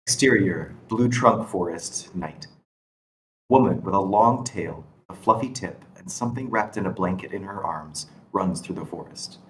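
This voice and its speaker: A man's voice: low voice, moderate pace. A man reading screenplay stage directions aloud at a table read. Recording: distant mic, background noise.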